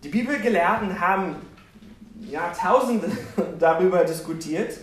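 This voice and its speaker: Animated preacher in an echoey hall. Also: male voice, high voice, a little slow, slight background noise. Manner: animated, self-deprecating, asks the congregation questions. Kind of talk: sermon with personal storytelling. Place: church hall with congregation. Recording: roomy, distant church recording.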